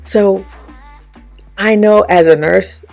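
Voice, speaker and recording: A woman with a raspy voice giving casual motivational advice to her viewers, often checking in with "okay?" and "right?". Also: mid-range voice, steady tone, slow, a little mellow, faint music behind. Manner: chatty, frequent "okay?" and "right?" check-ins. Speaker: vlogger and life coach. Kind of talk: casual motivational talk to camera.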